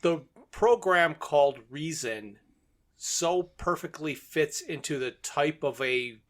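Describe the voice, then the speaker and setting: Higher-pitched man casually recapping a plot. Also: lively intonation, slow pace, a bit bright. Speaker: podcast co-host.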